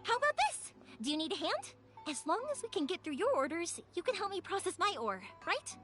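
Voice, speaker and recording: A woman voicing a cheerful, chatty, excitable young fireworks maker in an anime-style video game. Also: extremely high pitch, brisk, heavily acted. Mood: eager, pleading. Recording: music behind the voice.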